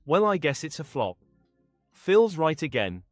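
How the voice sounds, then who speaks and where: A man's voice: on the high side, very animated, unhurried, dry recording, faint music behind. A man reading out short pieces of commentary.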